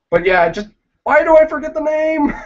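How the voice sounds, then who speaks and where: A young man chatting casually on a livestream, answering viewers in a rambling, halting way with many fillers. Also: very high-pitched. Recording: dull lo-fi livestream audio.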